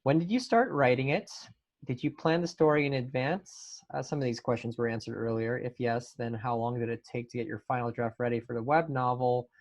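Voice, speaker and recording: A man's voice: mid-range voice, a little fast. A man casually hosting a Q&A panel and reading out fan questions, on slightly lo-fi audio.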